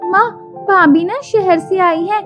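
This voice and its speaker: Woman putting on a very high, girlish village sister. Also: slow pace, fairly bright tone, full character voice. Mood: worried. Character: worried young village girl, the sister-in-law. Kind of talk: animated moral-story acting. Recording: music behind the voice.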